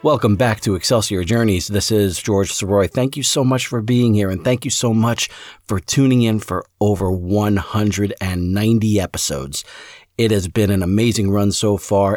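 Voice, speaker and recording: A man with a fairly low voice introduces a podcast episode. He addresses his listeners directly with enthusiasm and gratitude. The sound is dry, with little room around him. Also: a little mellow, no room sound. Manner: enthusiastic, appreciative. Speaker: podcast host.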